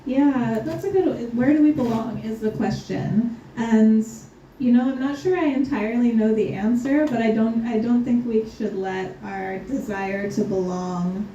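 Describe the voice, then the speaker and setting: A woman speaking thoughtfully on a panel, pausing often with ums and light laughs. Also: a little high, mellow, slight background noise. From United States. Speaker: scholar guest. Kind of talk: panel conversation. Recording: roomy, distant, slightly dull.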